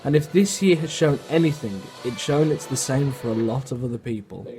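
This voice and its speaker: A man's voice: mid-range voice, a little fast, over music. A man who makes amateur documentaries, speaking as a narrator and casual interviewer. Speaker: documentary maker and amateur pantomime performer.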